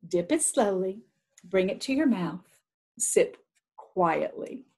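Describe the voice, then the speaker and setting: A woman's voice: mid-range voice, animated, takes it slowly, darkish tone, breathy edge, heavily acted. A woman voicing a kindly old great uncle who instructs patiently in a read-aloud storybook. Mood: patient, instructive.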